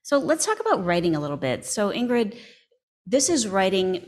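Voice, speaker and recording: A woman's voice: mid-range voice, big pitch swings, moderate pace. A woman working as an interviewer, asking questions in a casual, tentative, chatty way.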